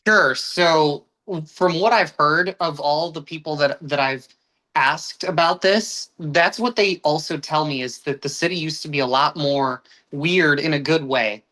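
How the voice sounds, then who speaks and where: A man's voice: fairly high pitch, measured pace, pushed and bright. Young guy from the states on a podcast, earnest, keeps restarting, pace all over. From United States. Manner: earnest, with frequent restarts and uneven pacing. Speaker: guest. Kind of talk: casual podcast conversation.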